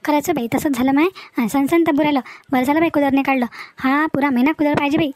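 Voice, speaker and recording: Woman playing a high-pitched, gossipy village housewife. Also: steady tone, quick pace, a little mellow, dry recording, close to an everyday voice. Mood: chatty complaining. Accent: rural Maharashtrian Varhadi. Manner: chatty, gossipy, emphatic rural talk. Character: talkative, sharp-tongued village housewife who gossips. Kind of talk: comic village dialogue for a cartoon.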